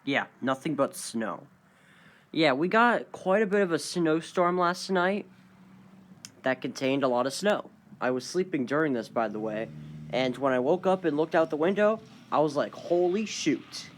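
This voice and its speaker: A man's voice: fairly high pitch, lively intonation, moderate pace, a little hiss or hum. A man giving casual, off-the-cuff vlog commentary in a rambling, conversational way. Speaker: vlogger.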